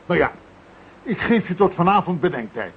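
An actor playing a composed, businesslike police commissioner in a radio play, speaking with measured authority. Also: male voice, high voice, big pitch swings, creaky, exaggerated voice. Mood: serious, businesslike. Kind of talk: Dutch radio play acting. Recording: thin narrow-band radio recording with hiss.

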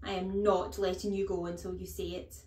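A woman voicing a huge, menacing, tyrannical storybook headmistress, scornful and snapping, with drawn-out emphatic words. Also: mid-range voice, fairly even intonation, a little mellow, reverberant, lightly acted. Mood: bellowing fury.

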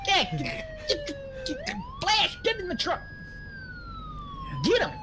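A man's voice: very high-pitched, huge pitch swings, takes it slowly, sharp tone, full character voice. A man playing a bumbling, sneering country sheriff who stammers and repeats his words in a comedy game roleplay. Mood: frantic excitement.

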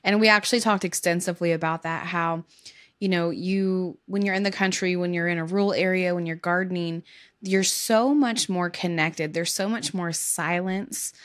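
A woman's voice: fairly low pitch, fairly even intonation, moderate pace. A woman in her thirties hosting a podcast and interviewing a guest, speaking in an affirming, reflective way at a studio microphone. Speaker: podcast host. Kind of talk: casual interview talk. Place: home studio with bookshelves. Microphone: studio condenser mic.

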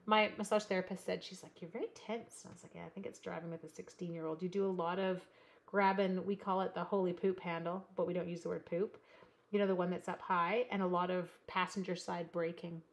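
A woman's voice: fairly low pitch, a little fast. Woman, from Canada, live crafting host, rambling chatty talk, craft-room desk. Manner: rambling, animated, reads chat comments aloud. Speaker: card-making livestream host.

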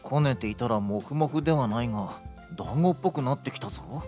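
男性声優が、古風で堅い言い回しをする真面目で落ち着いた武人をゲームのラジオドラマで演じている。. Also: male voice, mid-range voice, expressive, a little mellow, faint music behind, natural voice. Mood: calm, puzzled. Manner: measured, stiff old-fashioned phrasing. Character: calm, earnest male warrior with archaic speech. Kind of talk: game radio drama acting.

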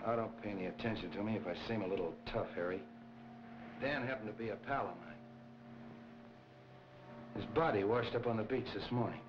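An actor playing a tough, blunt police captain who talks in a curt, pressing way in a 1940s crime film. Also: male voice, lowish voice, brisk, pauses often, lightly acted. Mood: grim, bitter. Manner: curt, hard-boiled, pressing. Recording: dull, noisy 1940s film soundtrack.

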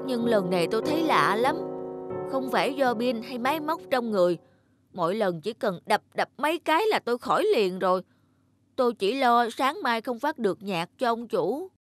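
A man's voice: very high-pitched, animated, moderate pace, no echo, faint music behind. A man voicing an anxious, aging cassette radio in a cartoon, earnest, polite and plaintive. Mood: worried. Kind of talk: dubbed cartoon dialogue.

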